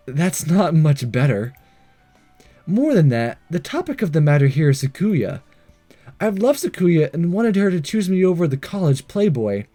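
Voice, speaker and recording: A man's voice: a little high, very animated, measured pace, dark tone, soft background music. A man doing a let's play, reading a visual novel aloud with casual, sarcastic asides and the odd stumble over words. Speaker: let's player.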